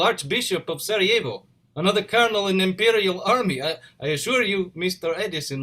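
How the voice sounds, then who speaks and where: A man plays an earnest immigrant engineer in a stage drama, in a slightly high male voice with an Eastern European accent. He is polite and proud, speaking formally in short clipped phrases with missing articles. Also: fairly bright tone. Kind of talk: dramatic play reading. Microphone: video call.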